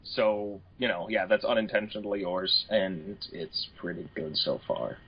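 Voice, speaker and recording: A man's voice: deep voice, unhurried, husky. A man co-hosting a casual podcast chat, rambling and easygoing as he gives his opinions. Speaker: podcast co-host. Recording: dull, lo-fi podcast audio.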